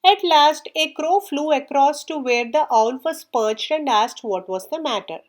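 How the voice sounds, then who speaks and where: High-voiced woman reading a children's story. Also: lively intonation, a little slow. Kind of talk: scripted children's story narration.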